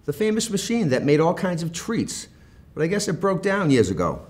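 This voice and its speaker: Man voicing an eager American snowkid, animated. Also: on the high side, moderate pace, pitched above the performer's own voice. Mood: explaining, eager. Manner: eager, storybook dialogue. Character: eager young snowkids planning and cheering each other on.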